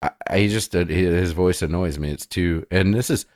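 A man co-hosting a podcast in casual chat, blunt, crude and opinionated, with a deep voice. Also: brisk, darkish tone, no room sound, clean studio sound. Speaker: podcast co-host.

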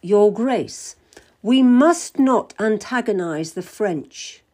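A woman reading an audiobook voices a pompous, patronizing older male cardinal in a smooth, condescending, deliberate way. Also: mid-range voice, expressive, dark tone. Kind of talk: audiobook character voice.

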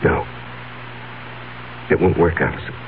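A man's voice: measured pace, close to an everyday voice. An actor playing an anguished, agitated businessman trapped by a blackmailer in a 1970s radio drama. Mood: grimly agitated. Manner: agitated, emphatic. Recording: narrow, tinny radio recording with hum.

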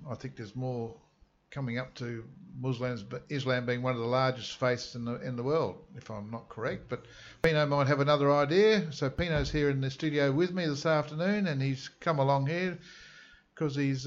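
Man hosting a radio interview, friendly and hesitant, dull lo-fi sound. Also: mid-range voice. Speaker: radio host. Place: radio studio.

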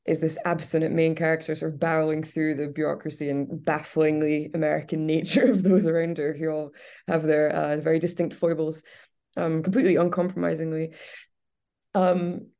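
A woman's voice: deep voice, level tone, fairly quick, warm tone. A woman on a community radio show reviewing a film in casual, enthusiastic talk with many fillers. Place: radio studio.

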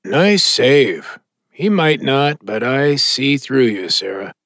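A man's voice: medium pitch, expressive, slow, fairly bright tone, dry recording, studio quality, full character voice. A man playing a hallucinated dead man who needles and mocks in a blunt, sardonic way in an audio drama. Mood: smug, mocking. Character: taunting hallucinated dead man, mocking and controlling.

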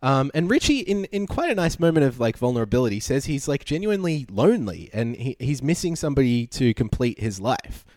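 A man's voice: mid-range voice, sing-song, fairly quick, few pauses, darkish tone, no room sound. An Australian man casually recapping a show from home, laid-back and sarcastic with lots of ums. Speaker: podcast co-host.

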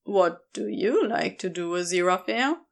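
A woman's voice: medium pitch, animated, normal speed, creaky, pitched below the performer's own voice. A woman voicing an easygoing, flirty male wanderer in a storybook reading, casual and candid. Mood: teasing. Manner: casual, laid-back, teasing.

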